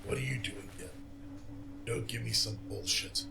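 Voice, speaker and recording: A man playing a weary, menacing gang boss in a noir audio drama, measured, commanding and wryly reasonable. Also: fairly low pitch, creaky, background noise. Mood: weary menace. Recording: roomy echo with music behind.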